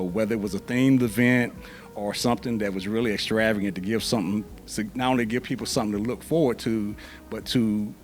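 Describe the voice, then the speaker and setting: Low-voiced middle-aged man, measured. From Louisville, Kentucky. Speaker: interview guest, event planner. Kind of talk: casual interview.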